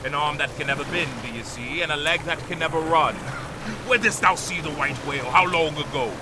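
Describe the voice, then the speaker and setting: An actor playing an obsessed, raging old sea captain in an audio drama, theatrical and grimly sardonic in archaic speech. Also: male voice, fairly high pitch, fairly quick, lightly acted. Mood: feverish urgency. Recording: clear noise behind the voice.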